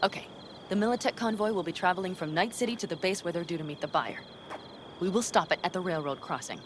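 An actress playing a headstrong young nomad woman in a video game, blunt, brisk and commanding, leading her crew. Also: lowish voice, background noise, close to an everyday voice. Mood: calm, purposeful. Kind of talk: video game cutscene acting.

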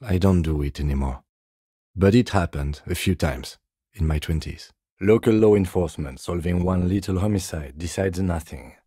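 An actor playing a composed, dry-witted middle-aged police detective in a video game, speaking in a measured, formal, deadpan way. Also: male voice, low-pitched, slightly rough, dry recording. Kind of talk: video game character dialogue.

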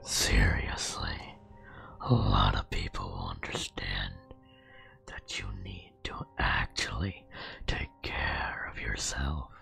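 Man playing a slow, whispering nightmare spirit. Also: extremely low pitch, very animated, creaky, faint music behind, exaggerated voice. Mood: coaxing, grumbling. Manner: casual, gently scolding, slow whispering. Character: chatty, teasing male nightmare spirit nagging a sleepless worker. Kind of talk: whispered ASMR roleplay.

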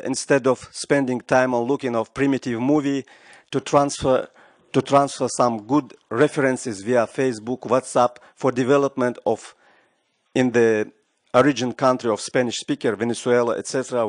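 A man's voice: medium pitch, steady tone, moderate pace, dry recording. Man, middle-aged, earnest, conference floor comment, desk mic, dry. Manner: earnest, non-native phrasing, gestures while speaking. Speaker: audience member asking a question. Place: large conference room. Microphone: desk microphone, wearing headset.

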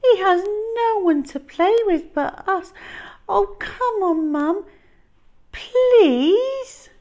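A woman's voice: very high-pitched, expressive, extremely slow, darkish tone, highs cut off, voice pushed up, exaggerated voice. A woman voicing a small, polite, playful boy with an earnest manner in a picture-book story. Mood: pleading. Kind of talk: children's picture-book reading.

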